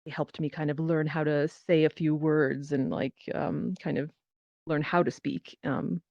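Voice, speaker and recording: Female performer in casual discussion, halting anecdotal delivery, dull close voice-chat audio. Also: deep voice, flat delivery, creaky, no echo. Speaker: panelist, performer. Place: virtual reality social app session. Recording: muffled online voice chat.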